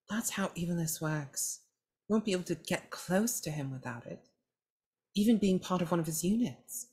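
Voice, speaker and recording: A woman's voice: lowish voice, a little mellow, slightly rough. A woman playing a tabletop roleplaying game on a video call, improvising in character on a close mic.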